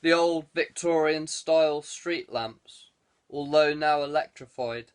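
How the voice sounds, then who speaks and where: A man's voice: a little high, slow, smooth. A man narrating scripted fiction as an audiobook reader.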